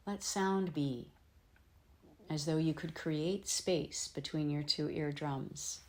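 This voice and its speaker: A woman with a low voice leads a guided relaxation as a yoga nidra teacher. She speaks very slowly and gently, leaving space between short, soothing phrases. Her delivery is unhurried instruction, made for meditation. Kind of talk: guided meditation, slow instruction with long pauses.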